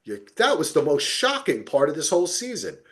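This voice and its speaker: A man's voice: a little high, huge pitch swings, brisk, fairly bright tone. A man hosting a casual TV recap podcast, chatting informally and animatedly as he talks through the show and listener comments. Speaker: podcast host.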